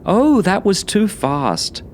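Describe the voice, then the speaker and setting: Horror-story mother, played by a man: raised pitch, playful yet menacing, slow emphatic words, some background noise. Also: wildly swooping pitch, full character voice.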